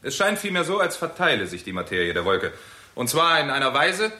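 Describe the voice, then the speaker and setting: An actor playing a cautious scientist in a vintage radio play, formally explaining things to an assembly. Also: male voice, medium pitch, big pitch swings, brisk, sharp tone. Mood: cautious, explanatory. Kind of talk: 1960s German radio play acting. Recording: echoey hall-like radio studio.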